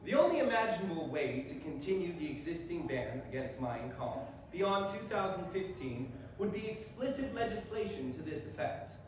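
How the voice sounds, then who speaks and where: A man on stage playing a principled defense attorney with a measured, rhetorical courtroom delivery. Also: sits high, sing-song. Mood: measured, firm. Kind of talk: live stage readers theater. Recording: distant mic, echoey room, faint noise.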